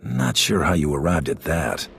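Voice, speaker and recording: A tough Imperial hero in a video game, with faint music behind. He is extremely deep and gravelly, terse and guarded. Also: expressive, normal speed, fairly bright tone, full character voice. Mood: cool, guarded. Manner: terse, cool. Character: tough, wary male Imperial player hero. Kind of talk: video game cutscene acting.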